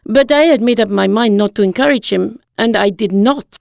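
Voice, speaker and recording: A woman's voice: mid-range voice, normal speed, vocal fry, darkish tone, no room sound, studio quality, close to an everyday voice. An actress playing a dignified, candid wife in her fifties who speaks with earnest composure in an audio drama monologue. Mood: fond reminiscence. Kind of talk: dramatised short story monologue.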